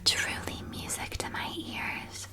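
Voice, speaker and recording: A woman playing a cheerful, welcoming witch who keeps a magical sweet shop, in a hushed, gently enthusiastic ASMR roleplay. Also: slow pace, lightly acted. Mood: warm, welcoming. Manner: soft-spoken, hushed, gently enthusiastic sales patter.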